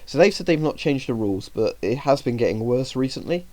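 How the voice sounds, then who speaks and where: A man's voice: mid-range voice, animated, a little fast, a little mellow, dry recording. Man with a cold, rambling. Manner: chatty, rambling, frequent fillers; indignant when reading rules aloud. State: has a cold, breathing heavily. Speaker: YouTuber talking to viewers. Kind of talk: casual vlog commentary.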